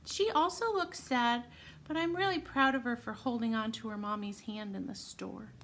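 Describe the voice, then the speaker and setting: A woman's voice: on the high side, moderate pace, a bit bright, a little hiss or hum. A woman reading a storybook aloud to young children, encouraging them and putting questions to them directly as friends. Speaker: children's read-aloud presenter.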